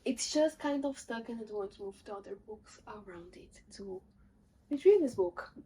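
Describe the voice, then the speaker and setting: A woman's voice: fairly high pitch, animated, moderate pace, dark tone, poor audio. Young woman rambling thru her book piles, chatty, bit of faint hiss behind. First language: not English. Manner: chatty, listing titles, self-deprecating asides. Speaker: book vlogger. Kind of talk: casual book haul chat.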